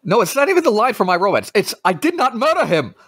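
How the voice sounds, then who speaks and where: A man co-hosting a podcast in casual chat, animated and wry, quick to push with questions. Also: high voice, breathy edge, dry recording, studio quality. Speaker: podcast co-host.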